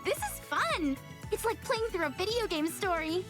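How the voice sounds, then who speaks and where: Perky high game heroine, curious n playful, super bouncy pitch, music behind. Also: female voice, big pitch swings, normal speed. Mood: excited. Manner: perky, theatrical, inquisitive. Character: eager, playful, game-loving young heroine. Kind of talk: video game cutscene acting.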